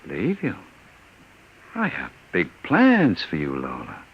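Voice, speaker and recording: An actor playing a scheming, cruel gangster in a 1950s radio drama, smooth and menacing, often dropping to a low murmur. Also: male voice, wildly swooping pitch, takes it slowly, heavily acted. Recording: dull lo-fi old radio recording.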